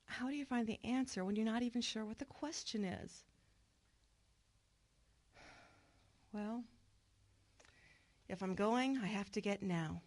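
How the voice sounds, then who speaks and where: A woman's voice: fairly high pitch, normal speed, darkish tone, no room sound. An actress playing a troubled middle-aged woman, earnest and reflective, in a narrated radio drama. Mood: wistful, grateful. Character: troubled, wistful woman returning home to her estranged mother. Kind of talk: radio drama acting with first-person narration. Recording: clear background noise.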